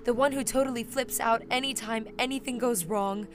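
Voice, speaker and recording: A woman's voice: on the high side, fairly quick, natural voice. A woman playing an anxious, self-loathing teenage girl, hesitant and self-deprecating, in an audio drama. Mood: bitter, subdued.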